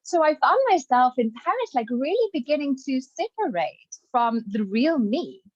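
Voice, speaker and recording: A woman's voice: sits high, normal speed, husky, dry recording, dull audio. A woman born in Zimbabwe and raised in South Africa, with a mixed South African and British accent, telling stories in a motivational talk with laughing asides. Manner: storytelling, animated, laughing asides. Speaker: speaker.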